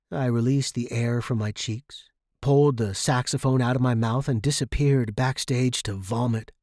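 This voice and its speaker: A male narrator tells a first-person short story in a middle-pitched voice. The reading is expressive and scripted, recorded dry without room sound. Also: no echo.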